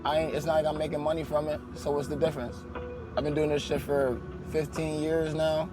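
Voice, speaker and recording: A man's voice: a little high, little pitch movement, a little fast, music in the background, rough recording. A man, a rapper guest, talking bluntly and profanely in a casual interview. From Albany, New York.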